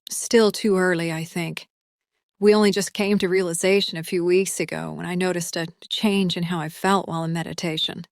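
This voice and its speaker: Serene mother played by a woman, slightly low voice, thoughtful phrasing, dry close sound. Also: fairly even intonation, moderate pace, dry recording, close to an everyday voice. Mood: serene, reflective. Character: serene, thoughtful pregnant mother, a spiritual adept. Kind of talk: audio drama.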